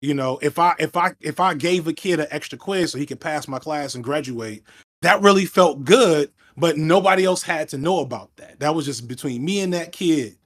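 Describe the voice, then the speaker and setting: A man in his thirties, a former teacher, talking over a headset on a video call in a reflective, fast, self-interrupting way. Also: a little high, a bit bright. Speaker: guest, video essayist. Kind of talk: casual video call chat. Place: room at home indoors.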